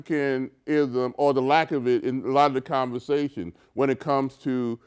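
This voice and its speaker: A man's voice: mid-range voice, steady tone, moderate pace, clean tone. A man co-hosting a community talk show, speaking earnestly and often restarting as he searches for words. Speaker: co-host. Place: local TV studio.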